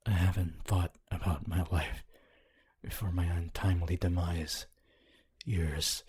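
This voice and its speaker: A man voicing a gentle, wistful ghost of a long-dead scholar in a slow, formal, breathy ASMR roleplay monologue. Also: little pitch movement, heavily acted. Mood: wistful, reflective. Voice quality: low, breathy, husky. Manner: slow, deliberate, formal phrasing with long pauses.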